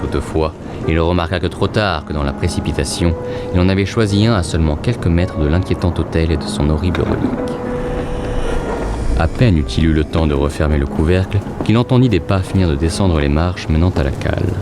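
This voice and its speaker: Low-pitched male fantasy narrator. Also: fairly quick. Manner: literary, dramatic storytelling. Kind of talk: scripted fantasy fiction narration. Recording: loud music behind. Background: loud music.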